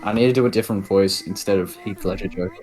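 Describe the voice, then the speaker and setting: A man's voice: sits low, quick pace, a little mellow. A man chatting casually as a guest friend, trading banter.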